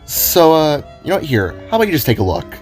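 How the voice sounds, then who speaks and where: A man's voice: a little high, big pitch swings, quick pace, a little mellow, faint music behind, pitched above the performer's own voice. A man playing an easygoing, friendly, slightly oblivious young male glitch hunter, talking in a casual, understated way in an audio drama. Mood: casual, confident.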